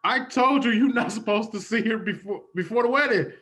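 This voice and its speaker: A man playing an aghast, scolding best man in an improvised comedy sketch, excitable and repetitive. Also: very high voice, a little fast, pitched above the performer's own voice.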